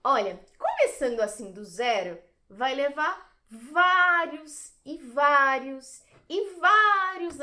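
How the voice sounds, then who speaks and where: Bubbly fairy acted by a woman, high-pitched, animated, teasing, theatrical, audio drama. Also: exaggerated voice. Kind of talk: audio drama stage acting.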